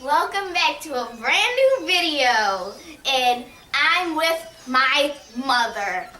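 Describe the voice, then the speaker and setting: A woman's voice: sits high, sing-song, slow, sharp tone. A young woman hosting a vlog in a casual, chatty style. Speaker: vlog host. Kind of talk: casual chat. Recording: echoey room, distant mic.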